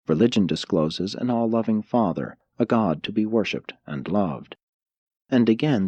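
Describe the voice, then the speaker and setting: A man narrates an audiobook in a low voice with a husky edge. His delivery is formal and measured, yet the intonation moves widely as he reads scripted prose. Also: dark tone, dry recording, muffled recording. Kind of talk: scripted reading of religious philosophy.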